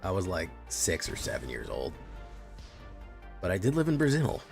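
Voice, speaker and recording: A man's voice: low voice, normal speed, gravelly. A man streaming a card game, chatting casually with his viewers and reacting to plays. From Chile. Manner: relaxed, chatty, reacting to chat. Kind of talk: casual live-stream commentary. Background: faint music.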